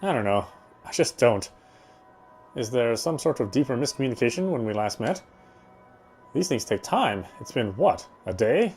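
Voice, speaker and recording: A man's voice: mid-range voice, big pitch swings, moderate pace, pauses often, darkish tone, faint music behind. A man reading visual novel narration aloud as he plays. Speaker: let's player reading a game aloud.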